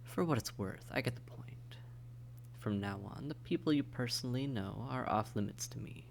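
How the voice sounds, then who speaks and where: A man's voice: mid-range voice, normal speed, creaky, a little hiss or hum, natural voice. A man playing a suave, condescending vampire in an intimate audio roleplay, speaking to the listener in a polite, wry, teasing and articulate way. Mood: wry, conversational.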